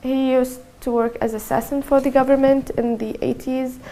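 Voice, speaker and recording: Soft, gravelly young woman. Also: high-pitched, little pitch movement, rough recording. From Syria. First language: Arabic. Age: early 20s. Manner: halting, soft-spoken, many restarts and fillers. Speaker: activist telling her story. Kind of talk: personal testimony to camera.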